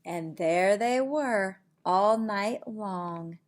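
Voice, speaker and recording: A middle-aged woman reading a picture book to young children in a deliberate, sing-song way, with simple asides, recorded in a room at home. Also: mid-range voice. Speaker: preschool teacher reading aloud. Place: small indoor room at home.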